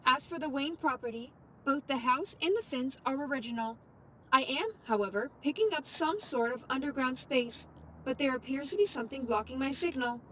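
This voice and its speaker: A woman's voice: sits high, animated, moderate pace, a bit bright, a little hiss or hum, rough recording. A woman voicing a composed, formal AI assistant in an audio drama, giving information in a precise, matter-of-fact way. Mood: calm, informative. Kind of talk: audio fiction dialogue.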